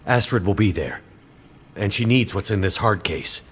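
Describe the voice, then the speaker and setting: An actor playing an earnest, weary, determined survivor in a video game cutscene, terse and serious. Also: male voice, lowish voice, moderate pace, husky. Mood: worried, determined.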